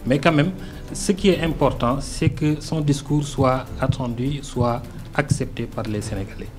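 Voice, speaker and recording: A man's voice: mid-range voice, normal speed, faint music behind. A man with a Senegalese French accent, not a native French speaker, speaking as a guest in a political radio interview, earnest and hesitant, with many fillers and restarts. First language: Arabic-educated, not a French native speaker.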